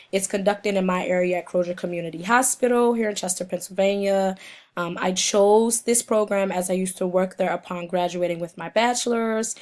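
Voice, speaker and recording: Young woman, hoarse with a cold, mid-pitched, reading a class presentation off her notes. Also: a little mellow. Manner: reads from prepared notes, halting in places. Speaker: student presenter.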